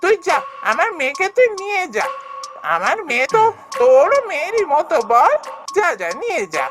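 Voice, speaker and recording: Woman voicing a high, excitable, sly village woodcutter. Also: moderate pace, music in the background. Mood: cheerful, casual. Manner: exaggerated, animated. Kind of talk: cartoon fairy-tale dialogue.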